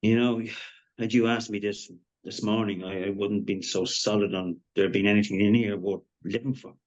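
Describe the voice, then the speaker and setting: A man's voice: on the low side, fairly even intonation, normal speed, dull audio, lightly acted. An actor playing a wry, shaken man given to gallows humour in a live screenplay reading. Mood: reflective. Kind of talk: live screenplay table read acting.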